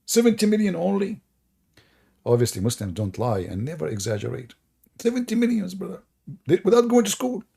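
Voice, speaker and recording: A man's voice: high-pitched, very animated, a little fast, gravelly, dark tone, slightly rough. A man with an Arabic accent, a native Arabic speaker, hosting a live stream in a sarcastic, teasing manner. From Middle East. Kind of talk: mocking commentary on a live stream.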